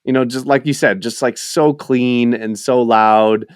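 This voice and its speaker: Relaxed rambling man, smooth voice. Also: mid-range voice, a little slow, no room sound, studio quality. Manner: relaxed, rambling, lots of filler words. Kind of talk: casual chat.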